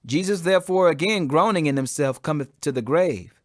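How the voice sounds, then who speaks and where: A man's voice: on the high side, moderate pace, no room sound, clean studio sound. A man giving a solemn, emphatic, dramatized audiobook reading of the Bible. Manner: solemn, emphatic, raises voice for quoted speech. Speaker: scripture reader.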